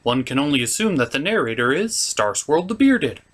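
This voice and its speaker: A man's voice: medium pitch, big pitch swings, moderate pace, fairly bright tone. A man giving a scripted comic episode recap in a theatrical manner, as a reviewer and puppeteer. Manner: theatrical, slipping into character voices.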